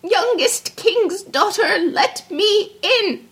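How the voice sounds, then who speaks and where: A woman voicing an insistent, plaintive male frog in a fairy tale, speaking slowly and drawing out the words. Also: very high-pitched, lively intonation, a bit bright, voice pushed up, heavily acted. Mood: pleading, calling out. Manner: slow, drawn-out, deliberate delivery. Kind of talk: fairy tale storybook character voice.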